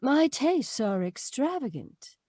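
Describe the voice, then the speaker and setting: A woman's voice: high voice, big pitch swings, takes it slowly, darkish tone, no room sound, studio quality, exaggerated voice. A woman playing a selfish, scheming cafe singer with expensive tastes, world-weary, in old-time radio drama style. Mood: coy, dissatisfied.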